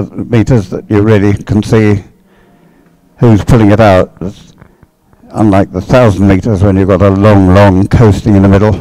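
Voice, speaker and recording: A man with a fairly low voice commentates live on a race. He muses aloud in hesitant asides as he follows the field, and the sound is dry and close. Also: dark tone, no echo, low-quality recording.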